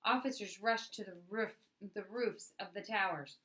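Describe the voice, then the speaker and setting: A woman reading a picture book aloud as a storyteller, with expressive narration and dramatic emphasis on key words. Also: medium pitch, moderate pace.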